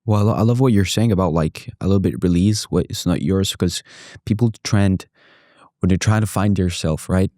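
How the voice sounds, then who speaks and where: A man's voice: low-pitched, brisk, warm tone, no echo, clean studio sound. A man hosting a podcast, asking long, winding questions and rephrasing them as he goes. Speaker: podcast host. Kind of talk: conversational interview questions.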